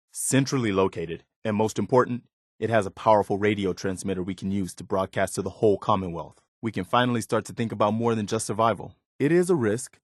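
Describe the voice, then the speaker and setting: Casting: male actor as steadfast, hopeful militia officer for a game; lowish voice, sincere measured delivery, close dry studio sound. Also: no echo, lightly acted. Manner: sincere, measured, plain-spoken. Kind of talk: video game dialogue acting.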